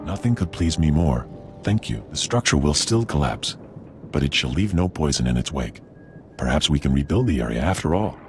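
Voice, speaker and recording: A solemn male leader in a space fantasy game, formal and measured. His voice is extremely deep, with faint music behind. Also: animated. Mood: earnest, grateful. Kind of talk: video game dialogue acting.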